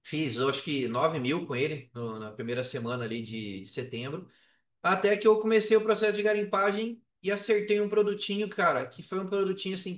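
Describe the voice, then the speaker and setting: A Brazilian man with a high voice tells a story in loose, slangy talk, slipping into quoted dialogue as he goes. He sits back from the mic in an echoey room, so the sound is roomy and a little distant. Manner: colloquial, slangy, re-enacts dialogue. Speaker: podcast guest, affiliate marketer. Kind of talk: animated casual storytelling.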